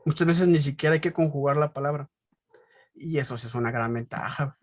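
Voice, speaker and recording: A man with a Mexican accent hosting a casual interview, explaining his points haltingly and repeating words as he goes. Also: fairly high pitch.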